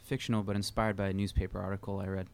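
A man from Pennsylvania, a musician guest, answering interview questions in a relaxed, halting way. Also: deep voice, smooth. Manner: relaxed, halting, many fillers.

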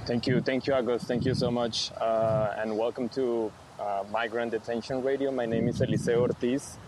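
A man from Mexico presents an unscripted radio conversation from outdoors. His pitch is average and he often restarts phrases while searching for words. Light street noise sits behind him. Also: fairly even intonation, normal speed. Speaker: visual artist hosting a radio conversation. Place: outdoors, outside a detention center. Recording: faint outdoor traffic noise.

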